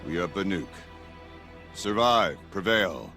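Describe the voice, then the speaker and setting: Deep, gravelly proud hunter chieftain. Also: male voice, lively intonation, takes it slowly, exaggerated voice. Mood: solemn, conceding. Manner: solemn, formal, declamatory. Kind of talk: dramatic video game acting. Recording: background music under the voice.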